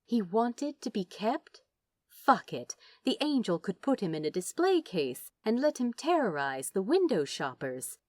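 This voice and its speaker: A woman reading fiction aloud as a narrator, dramatic and wry, with deliberate phrasing. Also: mid-range voice, big pitch swings, no room sound. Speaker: audiobook-style narrator. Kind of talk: expressive scripted fiction narration.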